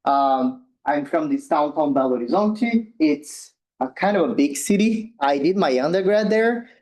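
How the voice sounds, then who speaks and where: A young man from Brazil with a Brazilian Portuguese accent, giving a relaxed science talk as a postdoctoral researcher, with ums and slightly loose non-native phrasing. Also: a little high, moderate pace, rough recording. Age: young adult. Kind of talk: informal science presentation.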